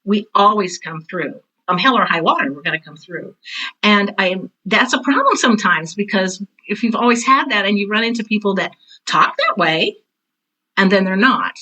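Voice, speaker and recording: A woman's voice: mid-range voice, moderate pace, lo-fi sound. An older woman in her sixties or beyond, speaking as an interview guest in a candid, blunt, conversational way, often acting out quoted remarks. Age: 60s or older. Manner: blunt, animated storytelling with self-quotes. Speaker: interview guest, veteran theater teacher.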